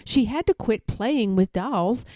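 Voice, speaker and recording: A woman reading a children's picture book aloud as an expressive, deliberate storyteller. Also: a little high, no echo, studio quality.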